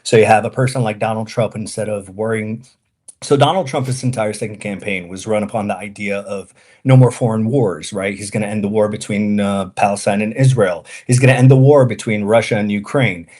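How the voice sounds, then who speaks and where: A man originally from Iraq speaks over a video call as a guest in a political debate. His voice is on the low side for a man. He argues steadily and keeps checking in with a quick "right?" after each point. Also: steady tone, darkish tone. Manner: argumentative, keeps tagging "right?".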